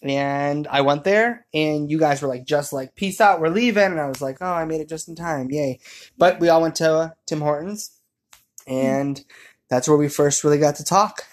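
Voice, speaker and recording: A man's voice: fairly high pitch, normal speed. A young man chatting casually as he co-hosts, telling a story in a jokey, rambling way and acting out little quoted asides. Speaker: co-host telling the couple's story.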